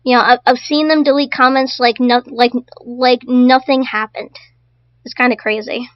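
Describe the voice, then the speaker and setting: A woman's voice: sits high, fairly even intonation, normal speed, dry recording, dull audio. A woman streaming casually to her chat, stuttering often and repeating her words. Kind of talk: casual live-stream commentary.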